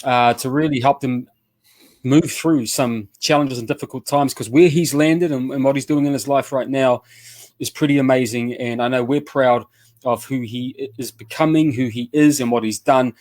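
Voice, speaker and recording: A man's voice: medium pitch, steady tone, moderate pace, a little mellow, dry recording. A man with a New Zealand accent hosting a casual radio chat show, upbeat and loose with slang, often restarting his sentences. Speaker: show host.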